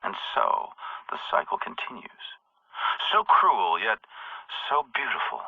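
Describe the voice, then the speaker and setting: Ruthless military chief, game audio log, lowish voice, grandiose and measured, dry tinny radio sound. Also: male voice, very animated, gravelly, breathy edge, no echo, heavily acted. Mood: calculating. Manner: measured, grandiose, coldly philosophical. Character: ruthless middle-aged male military company chief. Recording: tinny radio-filtered message.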